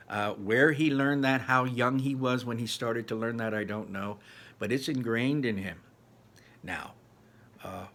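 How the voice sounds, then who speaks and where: A man hosting a read-aloud, giving informal, halting commentary on a chapter and repeating himself for emphasis. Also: medium pitch, sharp tone, slight background noise.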